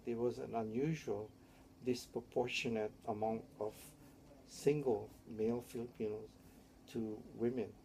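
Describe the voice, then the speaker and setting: Gravelly older man from Hawaii. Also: mid-range voice, fairly even intonation, a little slow, mellow, a little hiss or hum, low-quality recording. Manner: rambling, tags statements with "yeah?". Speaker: interviewee. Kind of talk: oral history interview.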